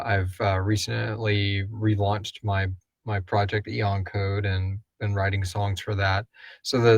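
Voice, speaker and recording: Low-voiced man rambling through a Q&A. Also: no echo. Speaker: podcast host and creator. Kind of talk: casual live Q&A chat.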